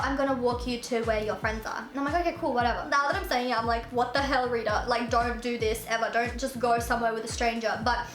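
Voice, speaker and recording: High-voiced chatty young woman. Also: a bit bright, echoey room. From Russia. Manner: chatty, fills speech with "like", jumps high for quoted voices. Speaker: vlogger. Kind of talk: casual storytime chat.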